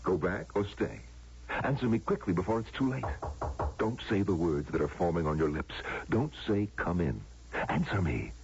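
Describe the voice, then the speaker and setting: An actor playing a commanding otherworldly tempter in an old-time radio drama, theatrical and insinuating. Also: male voice, low voice, animated, moderate pace. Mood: urgent, commanding. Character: commanding otherworldly tempter luring a woman back to paradise. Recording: noisy muffled old radio recording.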